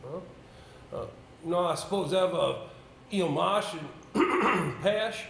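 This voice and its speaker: A man's voice: high-pitched, takes it slowly, fairly bright tone, heavily acted. A man on stage playing an avuncular older male solicitor, musing and hesitant, in a roomy, slightly noisy recording. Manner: hesitant, musing, throat-clearing. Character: avuncular older male solicitor, senior boss, rambling, brisk. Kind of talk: amateur stage play acting. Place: indoor stage, picked up with room sound.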